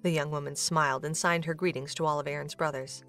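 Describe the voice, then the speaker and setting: A woman's voice: deep voice, steady tone, fast talker, fairly bright tone, soft background music. A woman reading scripted narration for an audio drama, as a storyteller.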